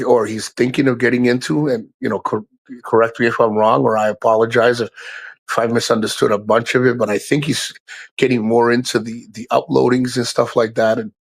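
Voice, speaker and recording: A middle-aged man hosting a casual livestream from his house, rambling and swearing, his voice low from a cold. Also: a bit bright. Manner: rambling, profane, restarts often, addresses chat by name. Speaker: livestream host. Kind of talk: casual live-stream chat to viewers.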